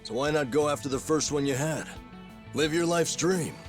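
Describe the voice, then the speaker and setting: An actor playing a hearty, encouraging middle-aged sidekick in a video game drama, casual and animated. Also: male voice, a little high, moderate pace, music in the background, lightly acted. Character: hearty, encouraging buddy of the hero.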